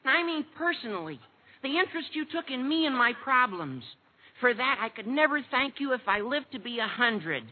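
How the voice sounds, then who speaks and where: An actor playing an earnest, tactless high school boy, gushing and self-important in 1940s radio comedy style. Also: sing-song, normal speed, heavily acted. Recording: tinny, hissy old radio recording.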